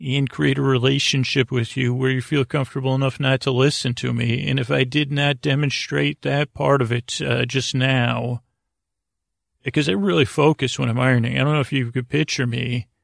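A man with a gravelly, creaky voice, recorded close and dry. He hosts a bedtime podcast, wandering through tangents, doubling back on his words and breaking off mid-sentence. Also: medium pitch, flat delivery, dry recording. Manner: meandering, halting, self-interrupting, with tangents and repeated words. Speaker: podcast host. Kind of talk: rambling bedtime monologue to help listeners sleep.

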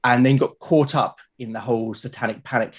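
A middle-aged man with a southern English accent speaks at a medium pitch as a guest in a casual interview. He is reflective and a little hesitant, telling stories in a conversational way. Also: a little fast, husky. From South London, UK.

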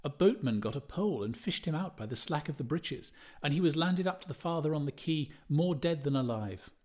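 A hearty middle-aged Irish gentleman in an audiobook, played by a male reader. He tells his tale broadly and emphatically, dominating the talk, with his pitch lifted. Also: expressive, a little fast. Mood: gleeful.

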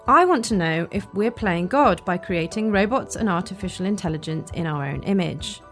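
A woman's voice: lowish voice, expressive, moderate pace, soft background music. A British woman hosting a podcast, speaking in a scripted narration style. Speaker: podcast host.

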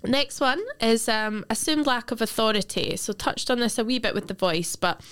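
A woman's voice: fairly high pitch, lively intonation, moderate pace, fairly bright tone, smooth. Young woman, casual podcaster, loose and chatty, clean resonant tone. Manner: chatty, swearing, many fillers. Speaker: podcast host. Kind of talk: casual chat.

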